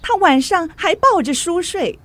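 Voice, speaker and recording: A woman acting a chatty, gossipy society lady in a Mandarin radio drama, with animated, expressive delivery. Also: very high-pitched, normal speed. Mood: exasperated complaining. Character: chatty, gossipy society lady complaining about her bookish husband.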